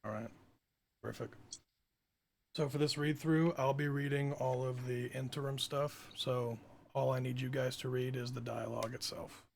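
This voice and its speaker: A man with a raspy, creaky voice, informal and self-deprecating, reading stage directions as the writer-director at a table read. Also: mid-range voice, steady tone, moderate pace, slight background noise. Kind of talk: screenplay table read narration.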